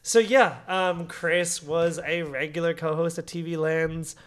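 A man's voice: on the high side, steady tone, measured pace, clean tone. A man hosting a podcast in a casual, chatty style. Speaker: podcast host. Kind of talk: casual chat.

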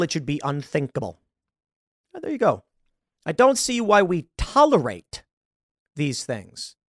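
A man in his late thirties giving political commentary in a sarcastic, mocking tone. His voice sits fairly high and jumps around a lot. The sound is dry and close, with no room echo. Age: 30s. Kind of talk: reacting to a video, commentary.

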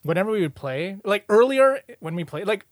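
A young man chatting casually and reminiscing with friends, telling stories in an animated, slangy, profane way. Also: high voice, fairly quick, dry recording, studio quality. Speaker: podcast host.

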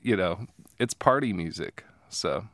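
A man hosting a relaxed interview, talking with lots of fillers and restarts. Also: low-pitched, a little slow, no room sound. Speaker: podcast host. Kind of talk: casual interview.

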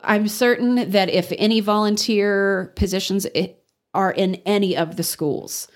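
Casting note: loud, earnest woman from the Texas Panhandle, radio interview guest, voice in the middle range, jumps up in pitch to stress a point. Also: steady tone, a little slow.

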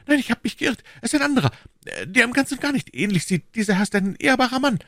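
A man's voice: very high voice, very animated, quick pace, fairly bright tone, dry recording, studio quality, voice pushed up, full character voice. A man voicing a muddled, timid shopkeeper in an audiobook, fawning and flustered in manner. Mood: panicked. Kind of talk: audiobook character dialogue.

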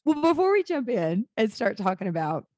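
A woman with a raspy voice hosting a podcast, chatty and upbeat. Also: on the high side, big pitch swings, normal speed, darkish tone, dry recording. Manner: upbeat, chatty, says y'all. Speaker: podcast host. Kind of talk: casual interview chat.

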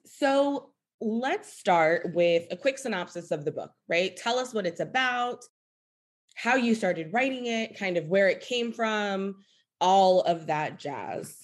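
A woman's voice: mid-range voice, lively intonation. A woman hosting a podcast, chatty and playful, greeting and questioning her guest. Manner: chatty, playful, quick reactions. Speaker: podcast host. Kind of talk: podcast interview.

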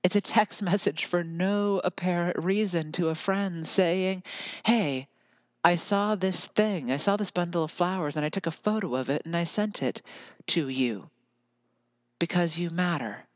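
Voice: mid-range voice, a little slow, no echo. An American podcast host speaking alone in an earnest, musing way, often drifting into lyrical repetition. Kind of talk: reflective solo podcast monologue.

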